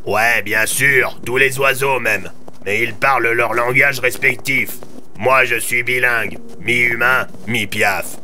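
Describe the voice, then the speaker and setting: A man's voice: mid-range voice, fairly even intonation, slow pace, bright, forceful tone, slight background noise. A man voicing a talking crow in an audio drama, cheeky and slangy, with a casual, blunt way of talking. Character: cheeky talking male crow, crude and playful.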